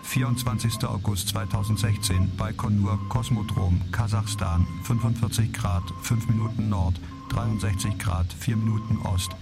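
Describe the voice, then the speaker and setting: Radio play role: gravelly man giving terse log entries in fragments, under prominent music. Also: fairly low pitch, fairly even intonation, normal speed.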